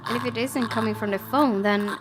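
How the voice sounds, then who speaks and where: A woman with an expressive, excitable delivery, reading a horror visual novel aloud as a let's play host and reacting to it. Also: on the high side, a little fast. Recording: loud game music behind voice. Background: loud game music.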